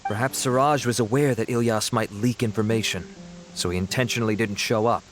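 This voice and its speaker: A man voicing a formal, businesslike adult male security officer in a video game, speaking briskly. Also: fairly low pitch, a little hiss or hum, lightly acted. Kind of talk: game cutscene voice acting.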